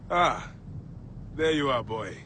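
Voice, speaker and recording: A man's voice: medium pitch, expressive, takes it slowly, pushed and bright, slight background noise. A male actor playing a grim, vengeful Egyptian warrior in a video game, terse and brooding. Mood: resolute. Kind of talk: dramatic video game acting.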